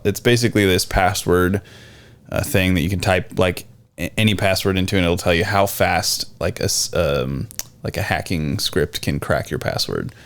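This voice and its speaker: American guy, kinda low voice, just shooting the breeze on a podcast. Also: smooth. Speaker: podcast co-host, IT and cybersecurity worker. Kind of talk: casual podcast chat.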